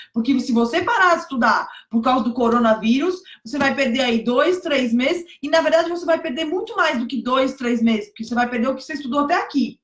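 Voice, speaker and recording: A hoarse woman teaching exam preparation on a live stream, emphatic, repeating key points and checking that viewers follow. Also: sits high, a bit bright. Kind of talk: motivational live stream talk. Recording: echoey room.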